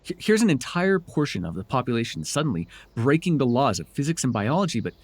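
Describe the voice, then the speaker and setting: Smug supervillain played by a man, swooping husky voice. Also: mid-range voice, very animated, fast talker, a little mellow, no room sound. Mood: earnest, exasperated. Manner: wry, theatrical, teasing, conversational. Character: smug, teasing male telepath supervillain mentoring a hero. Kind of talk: ASMR roleplay voice acting.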